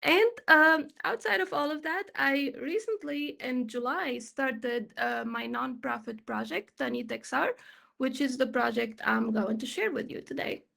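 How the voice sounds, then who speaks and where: A woman's voice: high-pitched, unhurried, creaky, bright, forceful tone. A young woman in her twenties, from Tunisia, presenting her work on an online panel in an upbeat, chatty way with many fillers. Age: 20s. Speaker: panel guest, XR developer.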